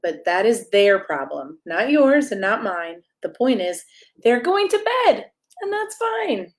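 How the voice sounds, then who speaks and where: A woman with a medium-pitched voice reads a bedtime picture book aloud. She delivers the rhymes expressively and playfully, as a storyteller speaking straight to small children. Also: very animated, measured pace. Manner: playful, addressing young listeners directly with questions. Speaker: pastor reading a bedtime story.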